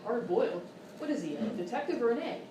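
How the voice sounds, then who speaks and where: A woman's voice: a little high, animated, quick pace, dark tone, far from the mic. Female co-host, scripted comic banter, roomy stage, noisy live recording.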